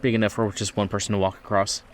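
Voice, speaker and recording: A man running a tabletop horror game as game master, setting scenes in a deliberate, descriptive way. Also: lowish voice, little pitch movement.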